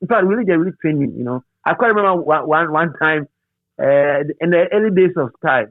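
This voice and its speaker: A middle-aged Ghanaian man reminiscing as an interview guest in a halting, repetitive, animated storytelling manner. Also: a little high, no room sound. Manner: halting, repeats words and phrases, animated storyteller. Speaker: interview guest, radio DJ and presenter. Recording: thin phone-like sound.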